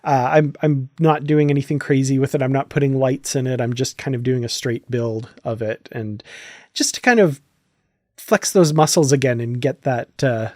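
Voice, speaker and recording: A man's voice: medium pitch, moderate pace, a little mellow, no echo, studio quality. A man hosting a podcast in a casual, chatty, enthusiastic way. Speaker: podcast host.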